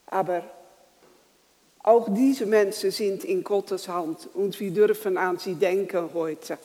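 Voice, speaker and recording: A worship leader leading a church service, speaking slowly and haltingly with small slips in the wording. The voice sits at a middle pitch and rings out with the long reverb of a church hall. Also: steady tone, warm tone. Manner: slow, halting delivery with grammar slips. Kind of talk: church welcome, prayer and scripture reading.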